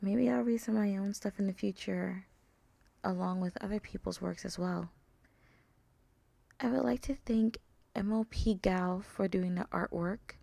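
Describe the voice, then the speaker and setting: A woman with a soft voice reading a story aloud as its narrator. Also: medium pitch, gravelly, dry recording. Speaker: narrator and author.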